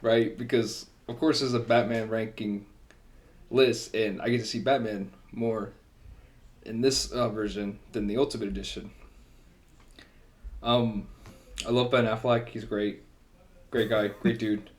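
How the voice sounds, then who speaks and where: A man's voice: fairly low pitch, fairly even intonation, unhurried, pauses often. A man co-hosting a podcast, chatting casually about films in a tongue-in-cheek, needling way. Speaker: podcast co-host. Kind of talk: casual joking film chat.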